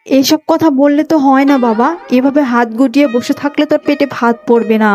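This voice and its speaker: A woman's voice: sits high, fairly quick, darkish tone, soft background music, close to an everyday voice. A woman voicing a poor, dutiful young village daughter in a cartoon story, plaintive, earnest and emotional. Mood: firm, earnest. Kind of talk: Bengali cartoon moral-story voice acting.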